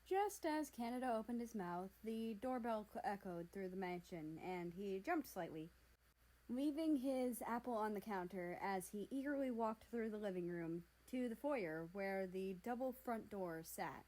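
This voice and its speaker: A woman reading fan fiction aloud as an expressive narrator. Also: mid-range voice, a little slow, low-quality recording.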